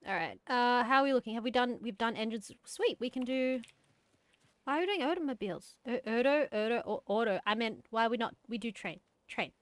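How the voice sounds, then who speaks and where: Hyper high-pitched woman streamer. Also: a little fast, no room sound. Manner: hyper, bubbly and chatty. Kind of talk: casual gaming stream chat.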